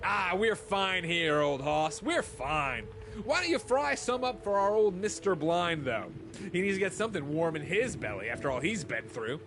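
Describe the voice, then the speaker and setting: Video game sidekick: sly, jovial, higher-set and animated, folksy slang, music underneath. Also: male voice, normal speed, background noise. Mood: reassuring. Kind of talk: scripted video game character dialogue.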